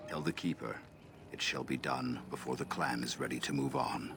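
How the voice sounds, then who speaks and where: A solemn elven clansman for a fantasy game, with an extremely deep, creaky voice. He speaks in grave, formal phrases while music plays clearly behind him. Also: moderate pace. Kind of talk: fantasy video game acting.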